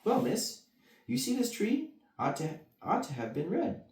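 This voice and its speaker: A man acts out a nervous, polite storybook gardener in a raised pitch. The manner is anxious and deferential, and the room echoes. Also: wildly swooping pitch, a little slow, darkish tone, full character voice. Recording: roomy echo, distant mic.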